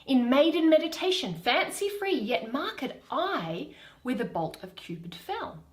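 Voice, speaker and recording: A woman voicing a commanding, scheming fairy king in deliberate, imperious Shakespearean verse. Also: sits high, very animated, reverberant. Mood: dreamy, scheming.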